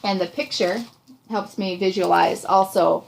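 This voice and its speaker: A woman's voice: medium pitch, steady tone, unhurried, creaky. A woman reads a storybook aloud and discusses it in an encouraging, explanatory way. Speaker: reading tutor reading aloud to a child. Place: small room indoors.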